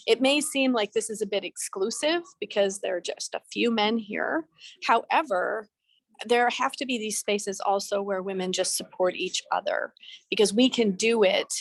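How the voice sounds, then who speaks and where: A woman's voice: mid-range voice, no echo, low-quality recording. A middle-aged woman talking earnestly on a video call from a busy event venue, with some restarts. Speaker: panelist on a talk show. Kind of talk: casual video-call talk.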